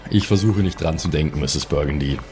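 A man playing a courteous, gruff adventurer in an improvised roleplay audio game, speaking politely and with understatement. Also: extremely low pitch, a little fast, darkish tone, soft background music, pitched below the performer's own voice. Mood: courteous, dry. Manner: polite, understated, formal address.

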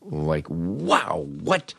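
A man's voice: deep voice, huge pitch swings, extremely slow, creaky, a bit bright, husky, dry recording. An older American man hosting a podcast and telling comic anecdotes in a wry, understated way. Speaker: podcast host.

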